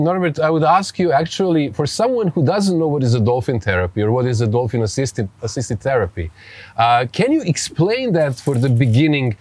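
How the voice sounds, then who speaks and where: A man with a Serbian accent speaks as a podcast host in a dry, close recording. His voice sits at a middling pitch. He interviews conversationally, in a halting way that circles back over phrases. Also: a little mellow, dry recording.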